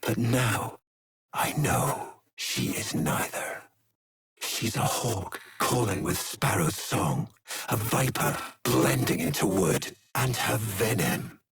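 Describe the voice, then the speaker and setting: An actor playing an imperious, bitter, tormented aristocratic game villain, theatrical and breathy, lingering on his words. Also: mid-range voice. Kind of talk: dramatic video game villain acting.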